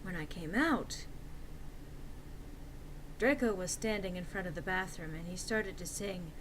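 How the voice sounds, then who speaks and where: A woman's voice: lowish voice, measured pace, fairly bright tone, slight background noise, low-quality recording. A woman reading a story aloud as narrator in a theatrical, mock-serious and emphatic comic style.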